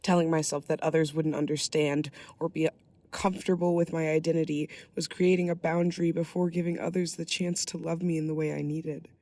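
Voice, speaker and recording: A woman reading a dramatic monologue in an earnest, deliberate and emphatic way. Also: deep voice, little pitch movement, darkish tone, no echo. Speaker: monologue reader.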